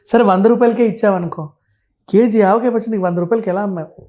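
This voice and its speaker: Talkative, higher-pitched Telugu man. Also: fast talker, dark tone. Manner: talkative, explains with everyday examples and lists, mixes in English words. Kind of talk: casual explanatory talk.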